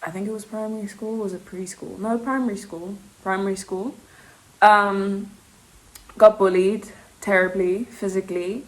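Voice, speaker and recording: A young woman with a South African accent that leans British, talking fast and rambling in a casual, confessional vlog, breaking off with laughs. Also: medium pitch, fairly even intonation, poor audio. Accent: South African English with a British touch. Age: young adult. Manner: fast, rambling, self-interrupting, laughs often. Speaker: vlogger. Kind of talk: casual confessional chat to camera.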